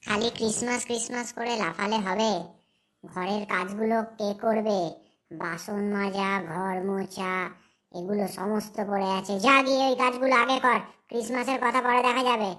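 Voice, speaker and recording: A woman's voice: medium pitch, fairly even intonation, moderate pace, fairly bright tone, natural voice. A woman voicing a harsh, bossy aunt in a cartoon story, with a scolding, domineering manner. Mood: angry, scolding. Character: harsh, scolding, bossy aunt who overworks her niece.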